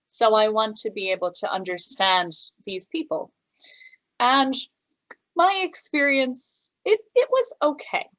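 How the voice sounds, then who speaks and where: Slow, careful American woman teacher. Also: medium pitch, dry recording, poor audio. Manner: measured, careful phrasing with restarts and repetition. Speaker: English teacher. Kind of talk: interview answers. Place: room at home with calligraphy on the wall.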